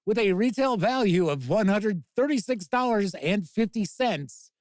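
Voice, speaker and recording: A man's voice: high voice, lively intonation, a little slow, darkish tone, no echo, clean studio sound. A man with a Chicago accent hosting a casual livestream of tabletop gaming news, chatty and jokey as he talks with his chat. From Chicago, USA. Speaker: host.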